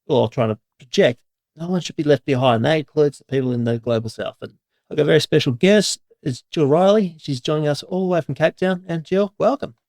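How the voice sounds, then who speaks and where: A man's voice: a little high, a little fast, a little mellow, no echo. A man hosting a podcast who introduces his guest in a chatty, rambling, enthusiastic way. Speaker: podcast host. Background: music.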